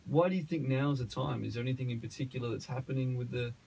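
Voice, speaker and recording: Gravelly, husky man hosting radio. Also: mid-range voice, quick pace, darkish tone. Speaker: radio show host. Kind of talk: casual radio interview. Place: radio studio.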